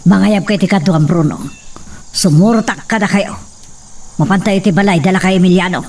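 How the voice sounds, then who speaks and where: A woman's voice: medium pitch, brisk, a little mellow, close to an everyday voice. A woman acting a melodrama character in Ilocano-accented radio-style drama, on a distant, faintly noisy recording. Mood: commanding. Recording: distant mic, faint background hiss and insects.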